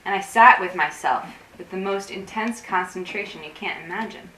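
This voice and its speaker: Actress as an intense, anxious woman, bright forceful voice. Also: mid-range voice, moderate pace, natural voice. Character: anxious, intense woman craving digital disconnection. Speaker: actor in a staged play reading. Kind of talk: theatrical staged reading, monologue-like dialogue. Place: room at a live staged reading. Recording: roomy, distant mic, faint noise.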